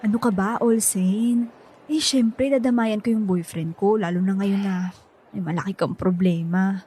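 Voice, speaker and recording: A woman's voice: medium pitch, steady tone, moderate pace, mellow, slightly rough, lightly acted. A woman playing a supportive, level-headed young girlfriend in a Filipino radio drama, earnest and easily exasperated. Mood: gentle, consoling. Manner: placating, earnest.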